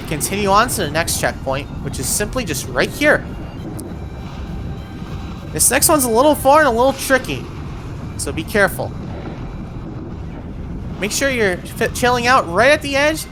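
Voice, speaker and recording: A woman giving an instructional voiced game walkthrough, guiding the player step by step with words of caution. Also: medium pitch. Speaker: video game guide host.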